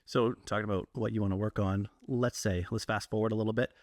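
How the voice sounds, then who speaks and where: A man's voice: lowish voice, fairly even intonation, fast talker, darkish tone, dry recording, studio quality. A man hosting a local interview, asking casual questions in an easygoing manner. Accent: Canadian English. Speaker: interviewer, channel host.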